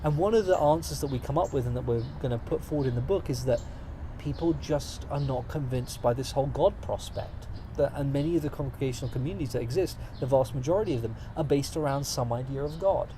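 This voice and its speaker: A man's voice: medium pitch, fast talker. Articulate young British man, noisy recording. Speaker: guest. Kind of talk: casual interview conversation. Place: studio in front of cameras.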